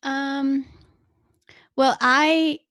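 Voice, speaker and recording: A woman's voice: high-pitched, steady tone, extremely slow, a bit bright, no room sound, clean studio sound. A young woman from Malaysia, speaking Malay as a first language, chatting easily in an interview with lots of fillers. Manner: chatty, many fillers and small laughs. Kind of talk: casual conversational interview.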